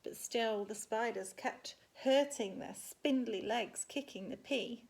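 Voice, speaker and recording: A woman, a primary school teacher, reading a picture book expressively to children and pausing to put questions to her listeners. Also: a little high, creaky, a little mellow, poor audio. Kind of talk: animated picture-book storytelling with asides.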